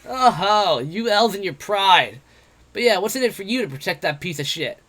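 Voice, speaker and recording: A man voicing a brash, mocking, drunk male wolf-man adventurer, loud and jeering. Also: sits high, expressive, moderate pace, voice pushed up. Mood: sneering.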